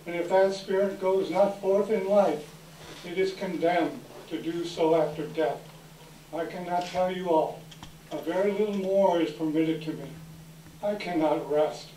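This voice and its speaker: A man's voice: sits high, unhurried, warm tone. A man playing a mournful, stern ghost in a solemn, ominous, declamatory stage reading. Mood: grim, mournful. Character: mournful, stern male ghost of a dead business partner. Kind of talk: readers theater stage reading of a classic story. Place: indoor live event room. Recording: echoey room, distant mic, background noise.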